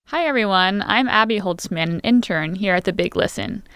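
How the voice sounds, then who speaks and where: A woman's voice: mid-range voice, normal speed, a bit bright. A young woman reading a scripted radio promo.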